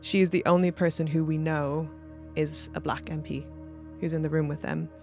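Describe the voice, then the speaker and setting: A woman's voice: low-pitched, flat delivery, normal speed, vocal fry, faint music behind. A woman interviewing someone in a conversational, tentative and exploratory way.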